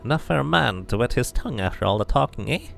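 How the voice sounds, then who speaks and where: A man's voice: mid-range voice, animated, fast, creaky, a bit bright, faint music behind, voice pushed up. A man voicing a wheedling, grateful street beggar from a fantasy game slum.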